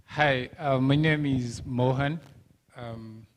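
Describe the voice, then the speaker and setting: A man's voice: mid-range voice, steady tone, takes it slowly, slight background noise. Man from Kenya asking a question. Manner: thoughtful, searching for words. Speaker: audience member.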